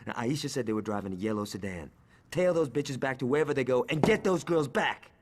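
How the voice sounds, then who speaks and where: Young male gangster for a video game, actor. Cocky, foul-mouthed, sardonic, very lively delivery. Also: medium pitch, quick pace, natural voice. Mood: annoyed, urgent. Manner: brash, sarcastic, profane. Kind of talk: video game cutscene acting.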